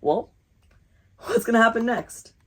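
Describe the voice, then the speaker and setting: A woman reading a picture book aloud as storytime host, expressive and encouraging, with rhetorical questions and asides. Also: on the high side, unhurried, slight background noise.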